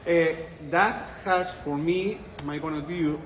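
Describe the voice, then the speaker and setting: A man's voice: on the high side, slow pace. Spanish-accented man, echoey conference room. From Spain. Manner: thoughtful, restarts phrases, laughs lightly. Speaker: interviewed researcher. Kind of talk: conference interview. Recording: echoey room with light ambience.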